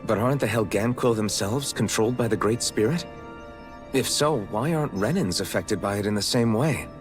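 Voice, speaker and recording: An actor playing a polite, earnest young hero in a video game, speaking sincerely. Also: male voice, on the low side, normal speed, soft background music, natural voice. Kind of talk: video game cutscene voice acting.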